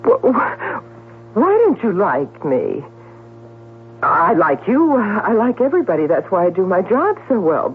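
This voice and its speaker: An actress playing a vain, chatty middle-aged society columnist in a theatrical, emphatic 1970s radio drama style. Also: female voice, mid-range voice, big pitch swings, a little slow. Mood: hurt, pleading. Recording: tinny, noisy vintage radio broadcast with hum.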